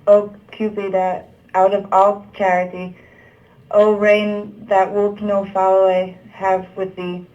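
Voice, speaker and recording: A woman narrating archaic verse for a puppet show in a halting way, stumbling over the old words. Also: mid-range voice, flat delivery, darkish tone. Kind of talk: read-aloud narration of Middle English verse. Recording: dull, muffled lo-fi sound.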